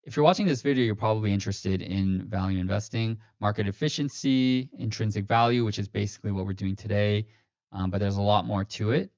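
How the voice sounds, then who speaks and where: Low-voiced man on a dull dry stream. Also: fairly quick, darkish tone, no room sound. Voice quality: slightly robotic-sounding stream voice. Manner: rambling, self-correcting, many fillers. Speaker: finance livestream host. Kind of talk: casual livestream walkthrough. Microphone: streaming mic.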